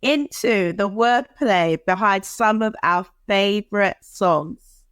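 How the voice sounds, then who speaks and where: Woman podcast cohost, chatty and animated, laughs a lot, takes her time, dead dry sound. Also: medium pitch, slow pace, smooth, no room sound. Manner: animated, laughs often, dramatic asides. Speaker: podcast co-host. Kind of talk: casual chat.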